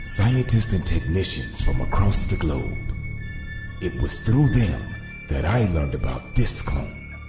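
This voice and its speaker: A man voicing a menacing, scheming crime boss in a comic-book cartoon, with a gravelly voice and a deliberate, theatrical delivery. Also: sing-song, roomy sound, exaggerated voice. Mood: boastful, calculating. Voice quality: gravelly, very deep. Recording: music behind the voice. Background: music.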